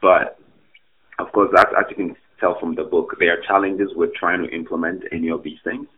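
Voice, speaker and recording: Academic guest from Ghana, male, deep, halting with fillers, remote call sound. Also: fairly even intonation. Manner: thoughtful, hesitant with fillers and restarts. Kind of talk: podcast interview answers. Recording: tinny phone-like remote line.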